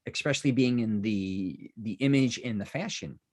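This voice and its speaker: Chatty podcast host, rambling and warm-up eager. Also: male voice, on the low side, moderate pace. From Chicago. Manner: rambling, lively, full of asides and restarts. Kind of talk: casual interview chat.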